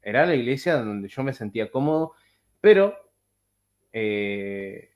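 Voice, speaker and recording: A man talks through a video call, voice sitting at an ordinary middle pitch. He rambles in a casual, halting way, full of fillers and restarts. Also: lively intonation, darkish tone. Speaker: podcast host. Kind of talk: casual chat.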